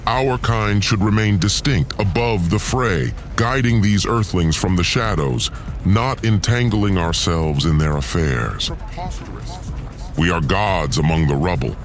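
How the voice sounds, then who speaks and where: A man's voice: low voice, unhurried, faint music behind. A man voicing an arrogant, cold ancient god who declaims with pompous scorn in an audio drama. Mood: resolute.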